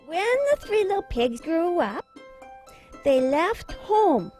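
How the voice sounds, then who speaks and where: A friendly puppet storyteller, voiced by a woman who tells a fairy tale eagerly, with a high, sing-song voice and an unhurried pace. Music plays underneath. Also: very animated, darkish tone, heavily acted. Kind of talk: children's puppet show acting.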